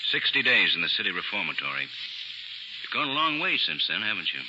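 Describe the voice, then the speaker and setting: An actor playing a stern assistant district attorney who presses and persuades firmly, in 1940s radio acting. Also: male voice, deep voice, very animated, a little fast, pushed and bright, exaggerated voice. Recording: muffled 1940s radio broadcast with hiss.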